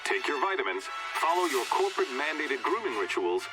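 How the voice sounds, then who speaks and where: An actor playing a pompous male corporate chairman who delivers smooth announcements and snaps into profane outbursts. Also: a little high, sing-song, a little fast. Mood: reassuring. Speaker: corporate chairman addressing the colony. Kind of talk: game voice acting with outtakes. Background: game music.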